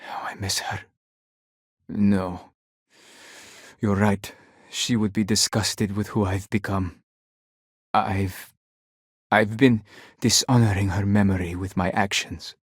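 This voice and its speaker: Stern, bitter father with a rough-edged voice. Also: fairly low pitch, fairly even intonation, slow pace, pauses often, no room sound, studio quality. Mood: remorseful, sad. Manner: stern, indignant, clipped formal phrasing. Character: bitter, proud widowed father, stern and wounded. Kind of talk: video game voice acting.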